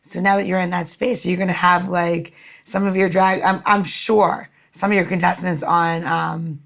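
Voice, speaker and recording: A woman's voice: fairly low pitch, little pitch movement, normal speed, vocal fry, a bit bright. A woman co-hosting a podcast in casual chat, informal and gushing, often repeating herself as she goes. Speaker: podcast co-host.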